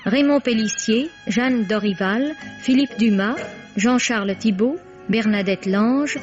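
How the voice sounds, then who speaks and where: Female radio announcer, very unhurried and formal, music clearly behind, muffled old broadcast sound. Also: a little high. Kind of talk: formal scripted programme credits announcement.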